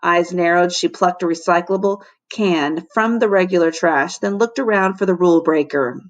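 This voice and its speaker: A woman teacher narrating a children's storybook aloud in an expressive, dramatic way. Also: fairly low pitch, normal speed. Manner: animated, dramatic.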